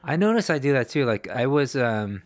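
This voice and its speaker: A man's voice: mid-range voice, big pitch swings, normal speed, no echo. A man co-hosting a casual podcast, laid-back and self-mocking, laughing often. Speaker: podcast co-host. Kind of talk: casual chat.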